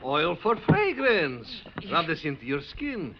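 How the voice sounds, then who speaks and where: Pompous old-world patriarch, middle-aged, fairly high expressive voice, commanding, radio drama style. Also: male voice, unhurried, full character voice. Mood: brisk, insistent. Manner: declamatory, pompous, proverb-like delivery. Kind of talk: 1950s radio western acting. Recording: muffled, noisy vintage radio recording.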